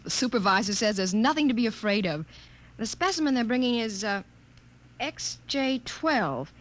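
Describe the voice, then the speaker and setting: A woman's voice: fairly high pitch, animated, normal speed, close to an everyday voice. An actress playing a poised but nervous young woman geneticist in a 1950s science fiction radio drama. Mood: brisk, composed. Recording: 1950 radio broadcast recording.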